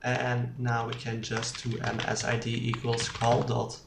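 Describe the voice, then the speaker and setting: A presenter giving an unscripted screencast coding tutorial, haltingly thinking aloud while typing. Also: medium pitch, completely flat delivery. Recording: roomy, distant mic with clear background noise. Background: keyboard typing and mouse clicks.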